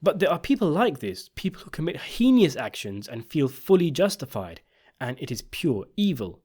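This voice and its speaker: A man's voice: a little high, very animated, moderate pace, darkish tone. A man narrating a scripted video essay, giving opinionated commentary on a television drama.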